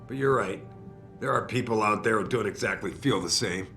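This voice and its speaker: A man's voice: on the low side, a little fast, bright, forceful tone. A man playing an idealistic, driven company chief in a video game, speaking with earnest, persuasive emphasis. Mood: earnest, resolute. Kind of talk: cinematic video game acting. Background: faint background music.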